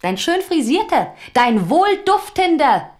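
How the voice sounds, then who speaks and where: An actress in a German radio drama playing an eager, ambitious confidante who urges and scolds. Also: female voice, high voice, slow, exaggerated voice. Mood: scolding, excited. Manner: urgent, scolding, excitable. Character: eager, ambitious female confidante scheming for wealth and power.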